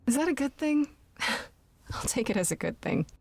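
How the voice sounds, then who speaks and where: An actress playing a young heroine in a video game, blunt and probing, talking in a direct, conversational way. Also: female voice, high voice, big pitch swings, moderate pace, darkish tone, no echo, full character voice. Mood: wry. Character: young woman Grace, blunt, probing, guarded newcomer heroine. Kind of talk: video game dialogue acting.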